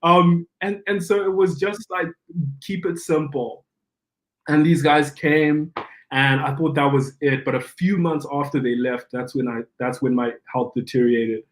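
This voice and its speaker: A young adult man from Zimbabwe, a pastor, telling his story in a casual podcast chat, animated, often restarting and acting out quoted dialogue. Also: a little high, measured pace.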